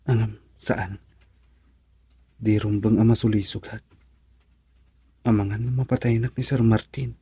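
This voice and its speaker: An actor with a Filipino accent playing a polite, hesitant, bashful driver in a radio drama. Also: male voice, lowish voice, fairly even intonation, unhurried, long pauses, warm tone, husky, dry recording, exaggerated voice. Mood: nervous, deferential. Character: deferential, bashful male driver of a rich couple.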